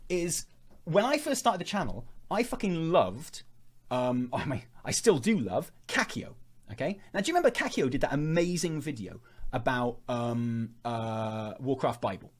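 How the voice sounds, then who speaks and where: A young man talking off the cuff on a livestream, with a lively voice that jumps up and down. He rambles and jokes, stops and restarts his sentences, and keeps the chat casual and loose. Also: on the high side. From England. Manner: rambling, joking, self-interrupting. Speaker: channel host.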